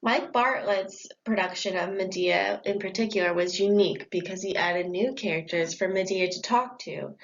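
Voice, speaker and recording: A woman's voice: mid-range voice, moderate pace, few pauses. A young woman presenting a school report in a plain, read-aloud manner. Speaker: student presenter. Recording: dull lo-fi audio.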